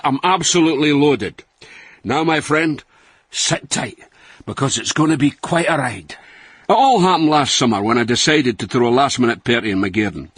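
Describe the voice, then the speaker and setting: Cocky old scottish guy in a radio comedy, raspy voice, really animated, boastful. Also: mid-range voice, no room sound. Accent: Scottish, Dundee. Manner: boastful, colloquial, deadpan mock-sincere. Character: cocky, roguish, blustering Scottish man in his sixties. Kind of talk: radio comedy acting, reading out emails.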